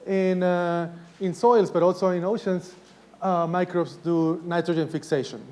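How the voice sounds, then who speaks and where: A man in his forties from Ecuador lectures to students, holding his voice down because his throat is sore. His voice sits a little high for a man. He talks in a halting way, full of fillers and restarts. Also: fairly even intonation, a little mellow. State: sore throat, speaking softly. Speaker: professor giving a lecture. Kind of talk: university lecture.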